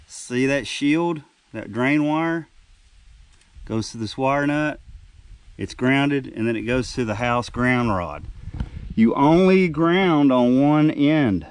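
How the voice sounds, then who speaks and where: A middle-aged man with a plainspoken, folksy delivery walks a viewer through his gear. His voice sits in an ordinary middle pitch. It is picked up on a small handheld camera, indoors and out. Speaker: off-grid DIY vlogger. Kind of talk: casual how-to walkthrough. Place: porch, then cabin and outdoors.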